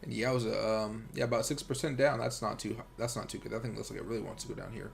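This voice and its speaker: A man's voice: fairly low pitch, steady tone, fast talker, creaky, slight background noise. An American man hosting a livestream with casual, rambling chat and commentary, speaking informally and directly to his viewers. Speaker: livestream host and crypto trader.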